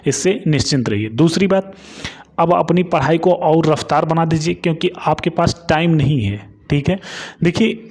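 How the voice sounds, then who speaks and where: A man's voice: a little high, a little mellow. A man giving an informal update and study advice to students, repeating himself with reassuring tags and emphatic urging. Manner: repetitive, reassuring tag phrases, emphatic urging. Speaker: exam news YouTuber and study adviser.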